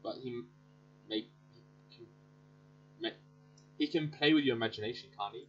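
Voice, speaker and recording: A woman's voice: extremely low pitch, normal speed, slight background noise. A woman giving casual let's play commentary as host of a gaming channel, on a lo-fi voice track. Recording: dull lo-fi voice track. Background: faint game music.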